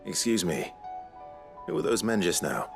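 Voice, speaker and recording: An actor playing a grave, resolute young warrior leader with a gravelly, measured voice in a video game cutscene. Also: male voice, low voice, wildly swooping pitch, faint music behind, heavily acted. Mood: wary.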